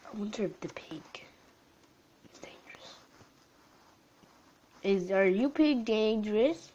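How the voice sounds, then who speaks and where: A young woman with a tired voice commenting on a game she is playing late at night at home, gasping and jumping between alarm and hushed whispers. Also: mid-range voice, animated, slow, dull audio. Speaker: gaming channel host. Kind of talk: live gameplay commentary.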